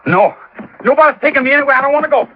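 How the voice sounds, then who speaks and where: A man's voice: extremely high pitch, lively intonation, racing. An actor playing a cornered, hot-tempered young male killer, agitated and threatening, in 1950s radio melodrama style. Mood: frantic, threatening. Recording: noisy, muffled old radio recording.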